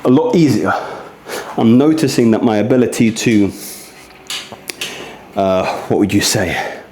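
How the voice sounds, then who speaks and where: A man's voice: lowish voice, expressive, unhurried, darkish tone, a little hiss or hum. A man talking casually and earnestly while demonstrating rehab exercises, in a confiding, conversational fitness vlog manner. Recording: echoey, roomy sound.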